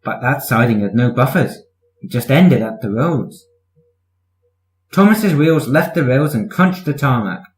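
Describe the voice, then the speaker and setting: A man's voice: medium pitch, animated, measured pace, reverberant, poor audio. A man reading a children's story as scripted narration, in the manner of a storyteller. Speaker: story reader.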